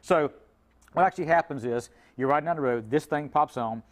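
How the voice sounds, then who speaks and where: A middle-aged man with a slightly high voice talks very quickly as a guest car repair expert. He explains in a folksy, conversational way, slipping into little acted-out quotes to make his points. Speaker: auto repair shop owner, guest expert.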